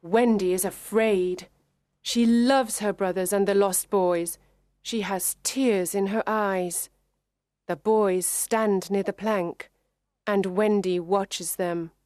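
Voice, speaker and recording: Slow, measured female story narrator. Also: medium pitch, steady tone, darkish tone, no room sound. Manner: deliberate, carefully enunciated. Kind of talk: scripted children's story narration for English learners.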